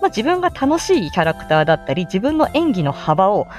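A woman's voice: medium pitch, big pitch swings, a little fast, faint music behind. A woman with an androgynous voice explaining in a casual, chatty live-stream style, as an amateur voice actor giving tips. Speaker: amateur voice actor explaining how to make voice samples.

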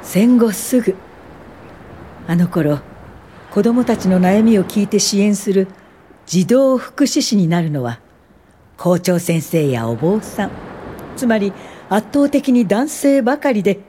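A woman playing a composed, politely firm older welfare pioneer in a radio drama, with measured narration and dialogue. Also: mid-range voice, sing-song, dark tone, a little hiss or hum. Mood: wry, reflective.

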